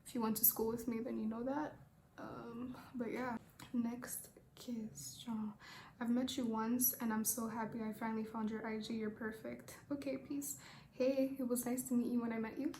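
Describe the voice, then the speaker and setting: A young woman with a somewhat high voice talks in a flat, even way while she does her makeup and answers questions, easygoing and rambling, swearing casually. Her voice bounces off the walls of an echoey room, with a faint hiss underneath. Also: normal speed. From Rwanda. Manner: laid-back, rambling, swears casually, many fillers. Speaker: beauty vlogger. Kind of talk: casual get-ready-with-me makeup chat and Q&A.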